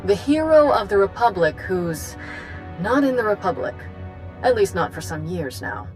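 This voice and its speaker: An actress playing a brisk, confident, wry woman chancellor in a science fiction video game. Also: medium pitch, big pitch swings, darkish tone, close to an everyday voice. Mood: wry, persuasive. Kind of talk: video game cutscene acting. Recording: music under the voice.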